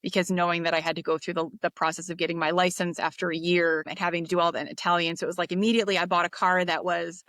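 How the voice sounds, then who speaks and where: A woman from the United States, a podcast guest, talking in a relaxed, reflective way with fillers and restarts. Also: lowish voice, no echo. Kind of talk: casual interview talk.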